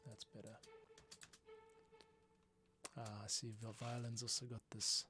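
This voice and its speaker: A man's voice: fairly low pitch, flat delivery, a little slow. Man muttering over music while composing. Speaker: composer streaming his process. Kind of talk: livestream composing commentary, thinking aloud. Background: orchestral music playback, computer keys clicking.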